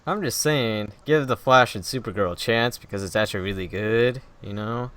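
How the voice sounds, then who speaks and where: Smooth-voiced podcast host man. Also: lowish voice, normal speed. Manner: relaxed, steering the conversation. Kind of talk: casual chat.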